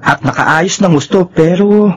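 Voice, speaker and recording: An actor playing a concerned, tactful workmate in a Filipino radio drama, speaking tentatively. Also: male voice, high voice, a little slow, slightly rough. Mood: curious, tactful. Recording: slightly dull sound, faint noise.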